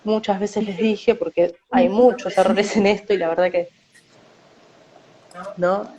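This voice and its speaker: A woman with a Rioplatense Spanish accent giving measured interview answers, often tagging her sentences with a questioning "no?". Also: mid-range voice, fairly even intonation, dark tone. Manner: measured, often adds "no?" tags.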